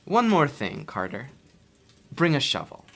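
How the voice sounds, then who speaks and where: Horror radio play: driven occult scholar, pompous, formal, patronizing, medium-pitched voice. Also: male voice, wildly swooping pitch, unhurried, vocal fry, a bit bright, full character voice. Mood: intent, secretive. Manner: grandiose, condescending, formal old-fashioned speech. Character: Warren, driven, condescending occult scholar obsessed with forbidden knowledge. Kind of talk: horror radio drama acting.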